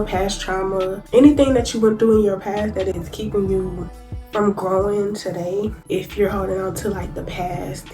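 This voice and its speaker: A young woman with vlogging to camera, sitting down. She is congested from a cold, and she talks casually to her viewers, calling them y'all. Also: mid-range voice. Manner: chatty, addresses viewers as y'all, reads notes from her phone. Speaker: YouTuber. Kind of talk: sit-down casual vlog talk to camera. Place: indoors, sitting down.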